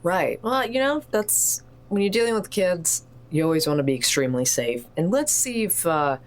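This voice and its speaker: A woman playing a tabletop roleplaying game, chatting casually and thinking aloud in an animated way. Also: on the low side, normal speed. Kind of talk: casual improvised roleplay chat.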